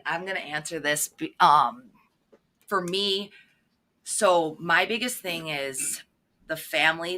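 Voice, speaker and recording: A woman's voice: rumbling low, gravelly, sharp tone. A woman, a guest on a talk show, speaking earnestly and emphatically as a single mother. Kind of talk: casual chat.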